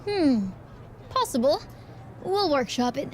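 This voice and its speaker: A woman's voice: high-pitched, very animated, slow, slight background noise, exaggerated voice. A woman playing a principled, defiant young wrestler in a video game cutscene, speaking with earnest emphasis. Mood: wry, confident.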